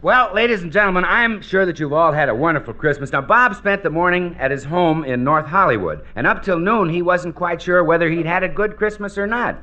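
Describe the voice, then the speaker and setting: A man's voice: high-pitched, a little fast, few pauses, muffled recording. An American man working as a scripted 1940s radio announcer and straight man.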